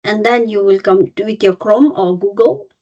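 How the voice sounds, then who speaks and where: A woman's voice: medium pitch, moderate pace, warm tone. A woman in her fifties from Nepal and India, presenting an online webinar as a cross-cultural trainer and explaining things step by step with frequent fillers and restarts. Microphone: video call. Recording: dull lo-fi video call audio.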